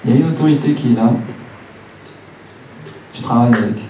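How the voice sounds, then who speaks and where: A man's voice: mid-range voice, fairly quick, dark tone, dull audio. A man in his late thirties preaching a church sermon, speaking didactically and repeating phrases for emphasis. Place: church hall, preaching to a congregation. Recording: roomy church, distant mic, faint noise.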